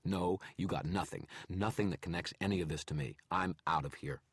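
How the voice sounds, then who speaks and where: A man voicing a jumpy male photographer, pitched up and pushed louder than the narration, stammering and pleading, dry studio sound. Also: brisk, breathy edge, no room sound. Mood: defiant. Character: jittery frightened male photographer. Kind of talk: audiobook character reading.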